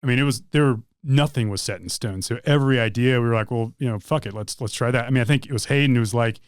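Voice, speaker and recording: Smooth-voiced man chatting loosely. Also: medium pitch, fast talker, dry recording, studio quality. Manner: loose, rambling explanations with frequent restarts. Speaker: band member. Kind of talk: casual interview chat.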